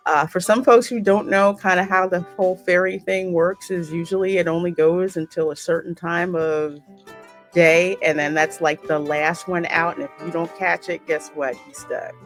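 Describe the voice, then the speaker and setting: Casting: American woman as gameplay host, colloquial and chatty, a bit low in pitch, soft music underneath. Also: steady tone, moderate pace. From United States. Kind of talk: gameplay commentary, reading game text aloud. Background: faint game music.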